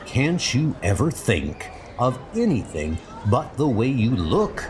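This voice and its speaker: A man's voice: medium pitch, big pitch swings, slow pace, a little hiss or hum. A man voicing a serious, fretful scientist hero in a scolding manner. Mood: worried, scolding.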